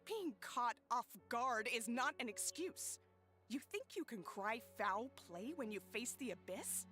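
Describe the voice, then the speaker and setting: A woman's voice: on the high side, lively intonation, measured pace, bright, forceful tone, faint music behind. A woman voicing a firm, formal tournament attendant in an anime-style video game. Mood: stern.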